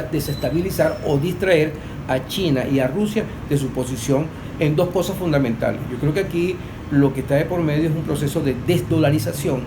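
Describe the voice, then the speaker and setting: A man with a slightly high voice offers analysis on a panel. He speaks off the cuff, often rephrasing as he goes, with clear noise in the recording. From Venezuela. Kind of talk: unscripted political and economic analysis.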